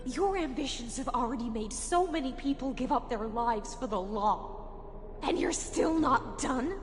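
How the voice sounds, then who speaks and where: A woman's voice: high voice, expressive, unhurried. A woman playing an indignant, righteous female knight in a video game, speaking earnestly and accusingly. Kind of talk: dramatic video game voice acting. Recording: long reverb, faint music behind.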